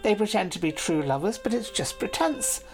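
A woman voicing a proud, clever young noble lady who speaks sweetly and persuasively, with soft music behind her. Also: mid-range voice, expressive, normal speed, voice pushed up, close to an everyday voice. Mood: teasing, cautious.

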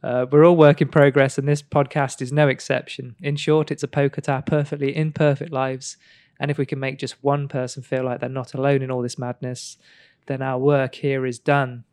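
A man's voice: mid-range voice, level tone, normal speed, a little mellow, clear, resonant tone, dry recording, studio quality. A man hosting a podcast, chatting casually and teasing his co-host, laughing often. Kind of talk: casual chat.

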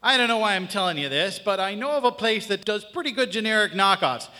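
Man playing a high, bright, know-it-all parts clerk. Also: lively intonation. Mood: knowing, blunt. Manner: brisk jargon, blunt, folksy. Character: know-it-all, matter-of-fact male parts counterman. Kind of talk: live audio comedy acting. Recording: live stage, long hall reverb.

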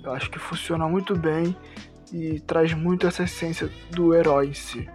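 A man's voice: on the high side, fairly even intonation, moderate pace, a little mellow, soft background music. A Brazilian-accented man giving casual recommendations as a podcast panelist.

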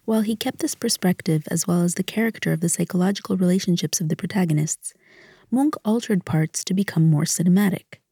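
Female narrator, husky and dry-recorded, reading a factual documentary script. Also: on the low side, a little fast, mellow, no room sound.

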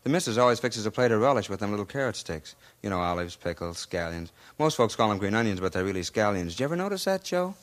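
An eager, folksy police partner in a 1950s radio cop spoof. He has a lowish voice and rattles along quickly in rambling, repetitive small talk. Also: very animated. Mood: eager, friendly. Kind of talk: 1950s radio police drama parody dialogue.

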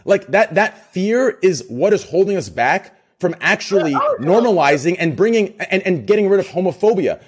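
A passionate man streamer talks in a slightly raised register, emphatic and excitable. He stumbles over his own words as he pushes his argument. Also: high-pitched, husky. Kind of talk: heated group discussion.

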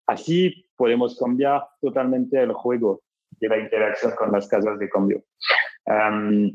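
Slow halting man with a French accent. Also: mid-range voice, a little mellow, lo-fi sound. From France. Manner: halting, many fillers and restarts. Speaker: startup cofounder guest. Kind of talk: interview answer.

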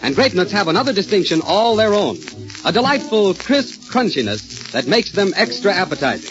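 An American man announcing in 1930s radio style, with an enthusiastic, polished salesman's delivery. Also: sits high. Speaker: radio announcer and cast member. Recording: muffled vintage radio broadcast.

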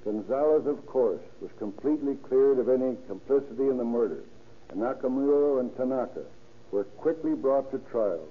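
A man's voice: medium pitch, lively intonation, normal speed, breathy edge. A man with an American accent, speaking as a sheriff in scripted 1930s radio narration. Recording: muffled 1930s radio, faint noise.

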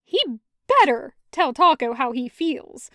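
A woman's voice: sits high, a little slow, sharp tone, dry recording, studio quality. A woman voicing a hurt, angry sister in an audio story, blunt and emphatic with sweary outbursts.

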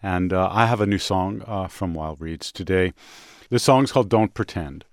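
A man hosting a music show in casual conversation, speaking in a reflective, halting way with pauses mid-sentence. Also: deep voice, no room sound, clean studio sound. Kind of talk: casual radio music discussion. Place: dry studio.

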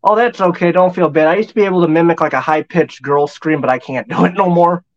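A man's voice: on the high side, fast, highs cut off. A man with a slightly nasal voice, chatting casually as a guest. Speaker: guest, fan group admin. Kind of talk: casual chat.

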